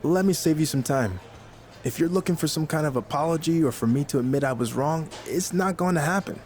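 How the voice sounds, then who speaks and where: A man's voice: a little high, a little fast, a little mellow, a little hiss or hum, lightly acted. A man playing a cocky, defiant young rookie wrestler in a video game cutscene, smug and assertive.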